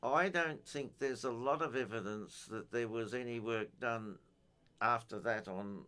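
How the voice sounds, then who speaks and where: An older man giving an informal technical explanation in a halting, methodical way. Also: medium pitch, bright, forceful tone, clean tone. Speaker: amateur radio enthusiast giving a technical talk.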